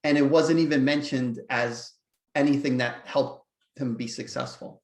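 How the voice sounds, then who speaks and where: A man's voice: medium pitch, steady tone, moderate pace, echoey room. A man co-hosting a casual webinar for dog trainers, reflective and explaining his points steadily.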